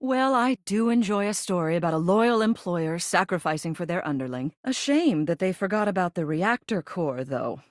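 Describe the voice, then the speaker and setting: Woman acting as genteel older female game character, animated intonation, dry wit, composed theater-critic manner, close dry recording. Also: lowish voice, moderate pace, few pauses, no room sound, lightly acted. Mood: measured appraisal. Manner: refined, composed, dryly witty theater critic. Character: genteel, wry older female ceiling with a chandelier, theater lover.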